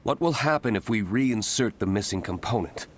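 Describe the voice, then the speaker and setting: An actor playing a cautious, pensive young man in a video game, deliberate and reserved. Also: on the low side, expressive, slight background noise, lightly acted. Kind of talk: scripted video game voice acting.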